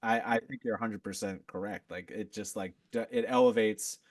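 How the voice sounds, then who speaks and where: A man talking as a podcast guest in a relaxed, rambling way, full of fillers and restarts. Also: lowish voice, moderate pace, gravelly, no room sound, studio quality, distant mic. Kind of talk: casual chat.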